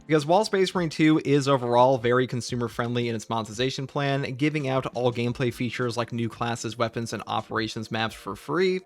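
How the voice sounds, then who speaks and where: A man delivering scripted gaming news commentary as a video creator. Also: mid-range voice.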